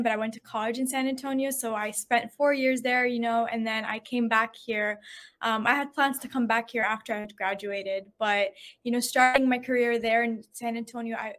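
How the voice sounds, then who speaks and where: A woman's voice: a little high, a bit bright. Chatty young woman podcast guest. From Syria. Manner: chatty, acts out past conversations with light laughs. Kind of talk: casual interview storytelling.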